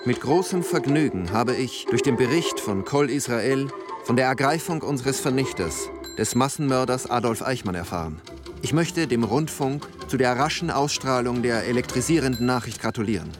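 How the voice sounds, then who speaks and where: A man's voice: medium pitch, lively intonation, normal speed, lightly acted. Formal, gratified male listener actor, radio drama: letter read aloud, mid-range voice over a music bed. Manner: courteous, stiffly formal.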